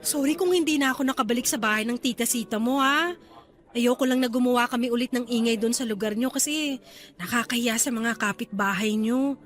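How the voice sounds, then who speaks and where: A woman's voice: a little high, a little fast, natural voice. A woman playing a young, protective and affectionate aunt in a radio drama. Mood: concerned, tender. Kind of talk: radio drama acting in Tagalog.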